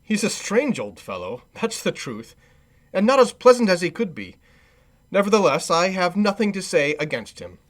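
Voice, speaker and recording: Animated, cheerful nephew, higher-voiced man acting. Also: moderate pace. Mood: earnest, pitying. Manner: animated, earnest, hearty. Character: Scrooge's cheerful, forgiving, good-humored nephew. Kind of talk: radio play acting.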